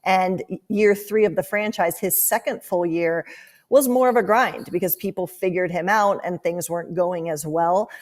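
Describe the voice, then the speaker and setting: Woman, somewhat low-pitched, sports analyst guest, conversational breakdown style. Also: normal speed, a little mellow.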